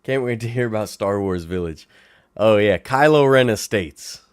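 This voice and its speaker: A young man with a raspy voice, hosting a casual late-night livestream from home and chatting playfully with viewers. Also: fairly low pitch, moderate pace, dry recording, studio quality. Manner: animated, playful, talks to chat viewers. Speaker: livestream host.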